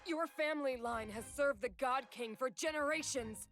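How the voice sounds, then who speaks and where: A woman's voice: high-pitched, normal speed, a bit bright. A woman playing a dutiful, humble, anxious royal knight in a video game, formal and earnest. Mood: pleading. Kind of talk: dramatic video game voice acting.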